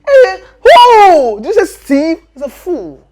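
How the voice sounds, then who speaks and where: A woman's voice: on the high side, normal speed. An actress playing a dramatic, teasing female best friend in a comedy skit. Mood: outraged. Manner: teasing, dramatic, animated outbursts.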